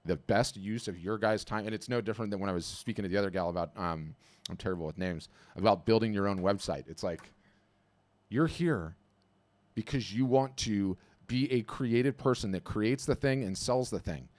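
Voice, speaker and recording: A man's voice: fairly low pitch, moderate pace, a little mellow, dry recording. A middle-aged man hosting a live business webinar, talking emphatically and hammering points home with repetition. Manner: emphatic, preachy, repeats phrases for punch.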